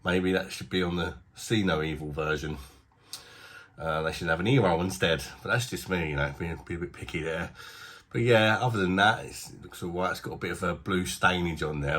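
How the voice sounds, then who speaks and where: A man's voice: deep voice, fairly bright tone, slightly rough. A man with a British accent reviewing beer in a casual, rambling, self-deprecating way, a little bunged up from a blocked nose. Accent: British English. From Britain.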